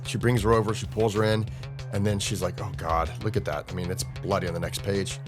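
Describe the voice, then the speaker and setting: A man doing casual, excited play-by-play narration of comic panels, informal and dramatic, as a read-along host. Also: quick pace. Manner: informal, dramatic, with interjected booms. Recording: music under the voice. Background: music.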